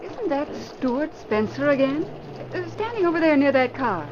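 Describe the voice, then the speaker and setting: An actress playing a sassy, wisecracking newspaper employee in an old radio drama, slangy and brash. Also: female voice, sits high, sing-song, normal speed. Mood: curious. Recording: noisy muffled old radio recording.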